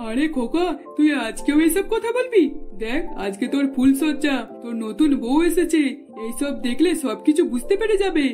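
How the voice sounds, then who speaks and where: A woman's voice: sits high, sing-song, moderate pace, music in the background. A woman voicing a middle-aged, scheming mother-in-law in a cartoon, coaxing and instructing.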